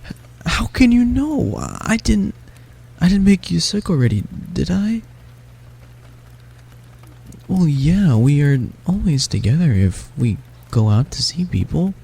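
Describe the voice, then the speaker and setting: A man voicing a sick, frightened young male catboy in an audio roleplay. The catboy talks softly, stammers and pleads. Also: on the high side, big pitch swings, unhurried, creaky, long pauses, breathy edge, exaggerated voice. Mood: worried.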